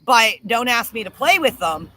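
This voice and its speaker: A woman co-hosting a casual live-stream chat, telling animated stories bluntly, with some casual swearing. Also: a little high, moderate pace, low-quality recording. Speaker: homestead channel co-host.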